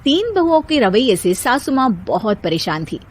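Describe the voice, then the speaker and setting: A woman's voice: high-pitched, big pitch swings, normal speed. A woman narrating a scripted moral bedtime story as the storyteller.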